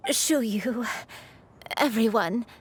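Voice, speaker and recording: An actress voicing a gentle, sorrowful old grandmother with a soft breathy voice, tender and solemn, in an anime game. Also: a little high, extremely slow, exaggerated voice. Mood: resolved. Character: gentle, sorrowful old granny regaining lost memories. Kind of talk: anime video game drama acting.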